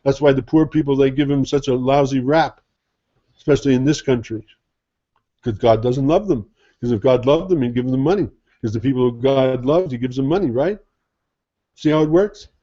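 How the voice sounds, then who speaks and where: A man's voice: medium pitch, normal speed, dark tone. A man giving an informal sermon as a Sufi teacher, talking conversationally and asking rhetorical questions. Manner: conversational, rhetorical questions, mimics others' attitudes. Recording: dull lo-fi recording.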